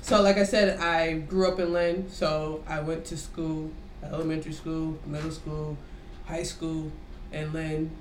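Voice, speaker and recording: An American woman speaks in a relaxed, conversational style, like a co-host answering questions on a podcast. The room is very echoey, and there is faint noise behind her voice. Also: deep voice, unhurried, hardly any pauses. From Massachusetts, United States. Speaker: podcast co-host being interviewed. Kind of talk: casual chat.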